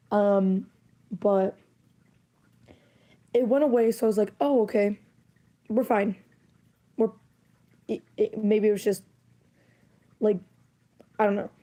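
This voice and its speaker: A woman streaming live, chatting casually in a rambling, giggly way with lots of fillers, her voice hoarse as she loses it. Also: mid-range voice, fairly even intonation, slow pace, pauses often, warm tone. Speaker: livestreamer.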